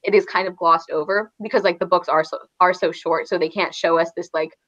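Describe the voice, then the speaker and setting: A woman's voice: on the low side, steady tone, brisk, fairly bright tone, muffled recording. A woman in a casual, rambling book discussion, peppering her sentences with "like". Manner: rambling, frequent "like". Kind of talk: casual chat about books.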